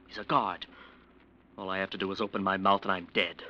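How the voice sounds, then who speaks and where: An actor playing a conflicted, frightened defense lawyer in an old radio crime drama, earnest and halting. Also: male voice, deep voice, steady tone, pushed and bright, muffled recording. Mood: anguished, fearful. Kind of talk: 1940s-50s radio crime drama acting.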